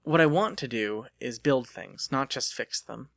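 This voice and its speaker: A man's voice: medium pitch, unhurried, gravelly, a bit bright, no room sound. Earnest young mechanic, read by a man, dry sound. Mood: eager, hopeful. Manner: earnest, plain-spoken. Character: earnest, eager young mechanic, smitten suitor. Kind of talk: dramatic audiobook character reading.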